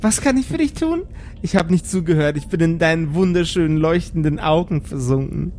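A man's voice: a little high, lively intonation, a little fast, a little mellow, a little hiss or hum. A man voicing a smitten, melodramatic female combat robot who switches between gushing and formal system announcements. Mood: flirtatious.

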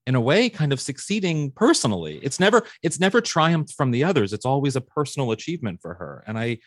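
A man's voice: mid-range voice, sing-song, fast, no room sound, clean studio sound. A man hosting an interview podcast, affirming and enthusiastic with his guest. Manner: affirming, enthusiastic, lots of short agreement. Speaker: podcast host. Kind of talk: interview chat.

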